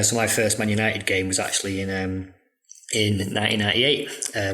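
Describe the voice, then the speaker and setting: Manc guy in his 30s, low steady voice, lots of ums, thoughtful. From Manchester, England. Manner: hesitant, many ums, thoughtful. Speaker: guest, football journalist. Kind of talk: casual interview talk.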